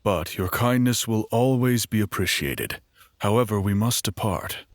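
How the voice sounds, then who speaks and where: Watchful male minstrel guide, played by an actor, very low voice, courteous, dry fantasy audio drama. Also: no echo, close to an everyday voice. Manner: polite, measured, cautious.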